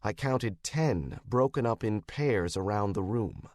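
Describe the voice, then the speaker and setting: American man, audiobook narration, wry detective storytelling, very lively pitch, dry studio sound. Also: unhurried, dry recording. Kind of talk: first-person scripted narration, wry detective fiction.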